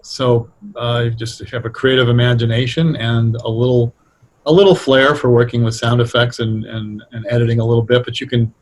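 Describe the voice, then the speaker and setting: A man's voice: mid-range voice, flat delivery, brisk. A middle-aged or older man talking casually as an interview guest, explaining things in a reflective, hesitant way. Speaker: guest, radio drama writer.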